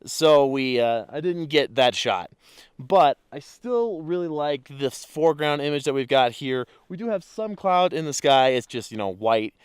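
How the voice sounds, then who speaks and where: A man photographing a calm mountain lake at dawn, explaining his camera settings in a relaxed way with many fillers while sounding congested from a cold. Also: fairly high pitch, unhurried, clean tone, no echo, clean studio sound. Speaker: landscape photographer. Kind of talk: casual on-location vlog.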